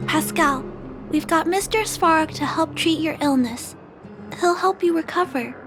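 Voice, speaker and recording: A woman voicing a gentle, caring little girl in a video game, with an earnest, coaxing manner. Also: extremely high pitch, unhurried. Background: faint background music.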